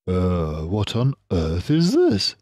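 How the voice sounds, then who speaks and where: Man doing a very slow, gentle, mellow old-fashioned badger. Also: low-pitched, huge pitch swings, dry recording, clean studio sound, full character voice. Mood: puzzled. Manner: hesitant, deliberate, bumbling. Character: gentle, anxious, respectable male badger, loyal and slow.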